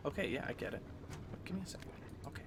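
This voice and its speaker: A young man hosting a casual gameplay commentary, chatty and upbeat, getting over a cold and clearing his throat often. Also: fairly bright tone, a little hiss or hum. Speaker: let's play host.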